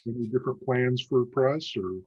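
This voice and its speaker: A man hosting a podcast, asking his guests a question haltingly and searching for words. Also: medium pitch, darkish tone, breathy edge, dull audio. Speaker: podcast host. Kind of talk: casual interview questions.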